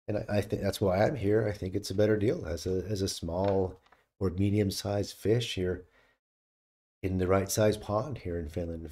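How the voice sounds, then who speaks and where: Middle-aged American male host, dark husky voice, casual rambling monologue, home condenser mic. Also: deep voice. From United States. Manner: rambling, opinionated, restarts often. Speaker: channel host. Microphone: studio condenser mic on stand.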